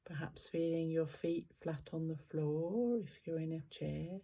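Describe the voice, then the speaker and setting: A meditation guide giving gentle, unhurried guided instructions with long pauses between phrases. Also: mid-range voice, fairly even intonation, vocal fry, husky.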